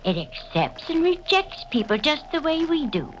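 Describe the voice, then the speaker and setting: A woman's voice: high-pitched, very animated, normal speed. An actress playing an intense, eerie, earnest woman in a 1940s radio horror drama. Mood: foreboding. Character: intense, eerie, pleading woman obsessed with her family house. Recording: noisy, dull old radio recording.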